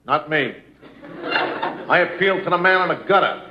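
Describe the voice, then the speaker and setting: Man as boastful small-time schemer, high voice, deadpan wisecracks, muffled 1950s radio comedy. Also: moderate pace, close to an everyday voice. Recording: muffled, slightly noisy vintage radio.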